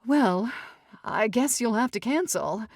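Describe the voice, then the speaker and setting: A woman's voice: on the high side, expressive, slow, darkish tone, no room sound, clean studio sound, pitched above the performer's own voice, exaggerated voice. A woman voicing a fussy, indignant middle-aged mother of the bride in an audiobook, with a theatrical, doting and haughty manner. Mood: practical. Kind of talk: audiobook character dialogue.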